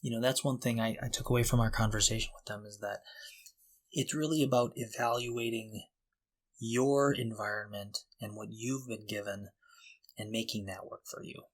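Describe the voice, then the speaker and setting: A man co-hosting a podcast, talking casually and explaining things in an informal, halting way. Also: fairly low pitch, steady tone, low-quality recording. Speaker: podcast co-host.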